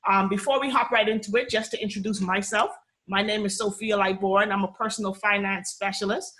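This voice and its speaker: A woman's voice: mid-range voice, fairly even intonation, fairly quick, fairly bright tone. A woman in her thirties from the Bahamas with a Bahamian accent, hosting a live webinar on a video call from a small room at home, chatty and upbeat, often checking in with "okay?". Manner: chatty, upbeat, frequent "you know" and "okay?" check-ins. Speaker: personal finance webinar host.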